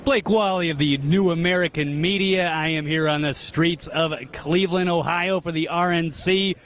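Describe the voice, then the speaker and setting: A man talks casually and upbeat as he introduces a street interview. His voice sits a touch high. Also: steady tone, moderate pace, fairly bright tone. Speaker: camera operator and reporter. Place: outdoors on a city street.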